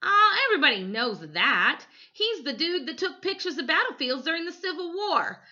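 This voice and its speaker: A woman voicing excitable, cheeky young boys from a storybook, exclaiming and whining in slang. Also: very high-pitched, normal speed, highs cut off, pitched above the performer's own voice, full character voice. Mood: know-it-all.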